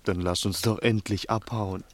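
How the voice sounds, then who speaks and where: A man playing a husky, nervous crook's sidekick. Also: on the low side, steady tone, normal speed, close to an everyday voice. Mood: frightened. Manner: timid, hesitant, short bewildered replies. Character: nervous, slow-witted, submissive young accomplice to a crook. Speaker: actor in a radio play. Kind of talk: 1960s German radio play acting.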